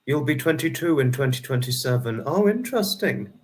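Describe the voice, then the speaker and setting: A man's voice: medium pitch, lively intonation, fairly quick. A young man in his twenties hosting a casual livestream, chatting and answering viewer comments by name in a rambling, friendly way. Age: 20s. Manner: rambling, restarts number sums aloud. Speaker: livestream host.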